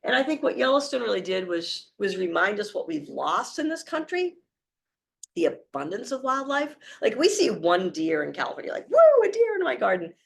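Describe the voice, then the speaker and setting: A hoarse woman in her forties from the Boston area, speaking with a Boston accent, giving an animated, story-filled talk with many fillers. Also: medium pitch, moderate pace. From Boston area, Massachusetts. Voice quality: gravelly, creaky. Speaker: wildlife conservation speaker. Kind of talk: public talk with slides.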